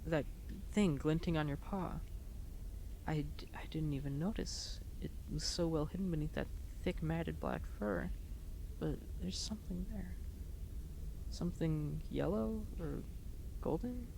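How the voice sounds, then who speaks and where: A woman playing an emotional young huntress in a dramatic roleplay monologue, speaking in an earnest, halting way. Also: sits low, very animated, creaky, mellow, full character voice, low-quality recording. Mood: hushed disbelief. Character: emotional young huntress reunited with her lost friend. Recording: noticeable background noise.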